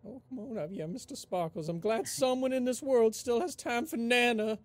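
A woman's voice: fairly high pitch, moderate pace, dry recording, close to an everyday voice. A woman voicing a fussy, doting old grandmother in a tabletop game, cooing at the others. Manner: cooing and coddling.

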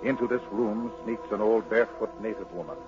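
A man narrating a 1940s radio drama in a measured, dramatic, poetic manner. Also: fairly low pitch, a little mellow. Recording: dull old radio broadcast with music.

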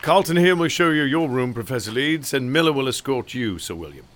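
An actor playing a courteous, deferential English butler with a formal manner in a radio drama. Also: male voice, medium pitch, expressive, a little fast, fairly bright tone, close to an everyday voice.

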